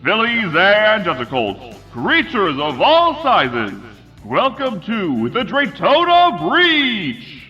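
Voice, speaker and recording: A man voicing a showy cartoon race announcer, booming and drawn-out, in dull reverberant sound. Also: wildly swooping pitch, bright, forceful tone, soft background music, heavily acted. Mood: grand, excited. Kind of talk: announcing to a crowd. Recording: dull, long echoing PA-style reverb.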